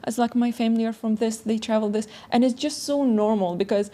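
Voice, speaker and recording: A woman's voice: on the high side, fairly even intonation, very fast, warm tone. A woman chatting casually as a podcast guest in loosely phrased, non-native English. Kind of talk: casual chat.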